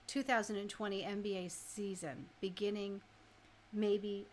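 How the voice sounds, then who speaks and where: A woman radio host chatting casually and informally, thinking out loud as she shares her opinions. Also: mid-range voice, level tone, a little slow, slight background noise.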